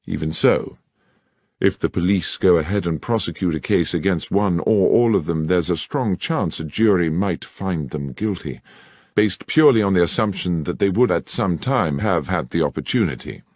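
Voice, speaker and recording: A man playing a shrewd gentleman detective with an English accent, formal, precise and authoritative. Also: sits low, animated, normal speed, dry recording. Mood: analytical. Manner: crisp, precise, formal, commanding.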